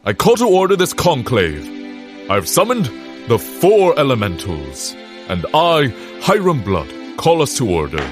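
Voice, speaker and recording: Pompous society leader, extremely swooping pitch, formal declamation, grave, music behind, comic audio drama. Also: male voice, wildly swooping pitch, measured pace. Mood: grave urgency.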